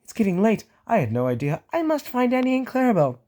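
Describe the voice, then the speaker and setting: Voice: medium pitch, big pitch swings, quick pace, warm tone, voice pushed up, natural voice. A storybook reader voicing an impatient, fussy male tank engine who talks in a huffy, flustered way.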